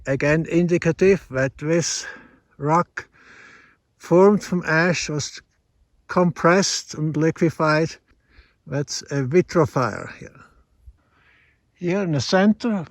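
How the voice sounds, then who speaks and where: A man with a Swiss accent, explaining geology outdoors on a mountain hike in a measured, teaching way. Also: on the high side, a bit bright, no echo. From Switzerland. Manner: measured explanatory, slightly non-native phrasing. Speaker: hiking geology guide. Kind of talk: outdoor geology field narration.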